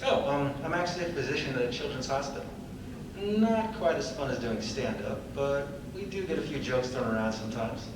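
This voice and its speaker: A man's voice: mid-range voice, lively intonation, brisk, close to an everyday voice. A man playing a polite, eager and slightly awkward children's doctor in a script reading. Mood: awkward, friendly. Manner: nervous, chuckling, hesitant politeness. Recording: very echoey hall, far mic, clear noise.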